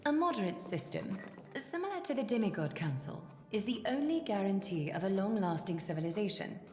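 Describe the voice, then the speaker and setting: An actress voicing a composed, sociable woman leader in a video game, measured and gently teasing. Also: medium pitch, very animated, lightly acted. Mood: composed, amused. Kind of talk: game character dialogue. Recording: echoey long reverb, music behind.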